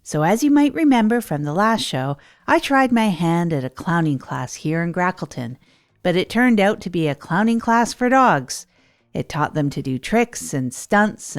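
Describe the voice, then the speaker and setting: A woman playing an earnest, hapless radio host in a comedy audio drama, rambling in a deliberate, self-deprecating way. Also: on the low side. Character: Tog Chesterfield, earnest, hapless radio host rebuilding their life. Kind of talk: improvised comedy audio drama monologue, late-night radio parody.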